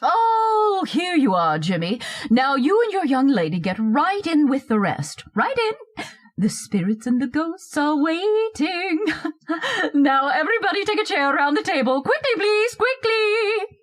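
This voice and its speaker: An actress playing a pompous, bossy older society hostess in a radio play, with a theatrical, affected, self-satisfied way of talking. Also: female voice, very high voice, big pitch swings, a little slow, full character voice. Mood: excited, bossy. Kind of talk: old-time radio horror-comedy acting.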